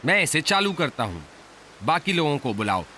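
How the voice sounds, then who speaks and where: An actor voicing an excitable man in a cartoon dub. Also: a little high, moderate pace, slight background noise. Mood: speculating.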